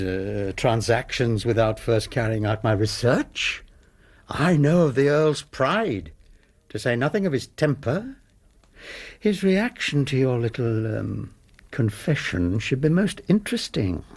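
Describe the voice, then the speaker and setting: An actor with an English accent playing a smug Victorian blackmailer in a radio drama, mock-polite, unctuous and quietly menacing. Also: male voice, mid-range voice, expressive. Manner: unctuous, mock-polite, mannered drawn-out exclamations. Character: smug, oily male Victorian blackmailer, falsely courteous and menacing.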